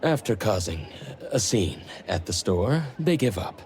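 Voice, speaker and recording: Easygoing low-voiced guy. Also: big pitch swings, a little slow, darkish tone, a faint crowd. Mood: casual, amused. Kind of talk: anime game dialogue acting. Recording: faint music behind.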